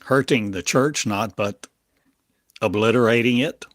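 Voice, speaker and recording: A man delivering a channeled prophetic monologue in halting, fragmented, oracular phrases full of coined words. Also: mid-range voice, no room sound.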